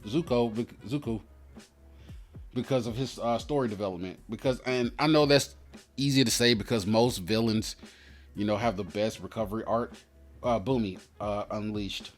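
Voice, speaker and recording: A man hosting a card pack opening, rambling casually in a slangy, profane, opinionated way as he tells stories. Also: medium pitch. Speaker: host opening trading card packs. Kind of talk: casual rambling commentary and storytelling.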